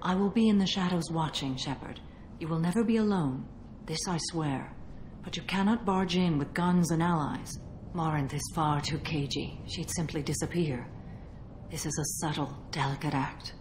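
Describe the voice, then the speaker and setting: A woman playing a solemn, ancient alien warrior in a video game, speaking in a measured, formal, grave manner. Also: low-pitched, slight background noise, natural voice. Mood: grave, intent. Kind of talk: video game cutscene acting. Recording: echoey hall, loud music behind.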